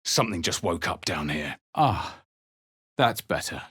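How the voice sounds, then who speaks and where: A man playing a wary, resolute fantasy adventurer in video game voice lines, with a measured, theatrical delivery. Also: sits low, expressive, a bit bright, slightly rough, no room sound, studio quality, full character voice. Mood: wary, musing. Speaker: player character voice. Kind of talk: scripted video game voice lines, dramatic narration.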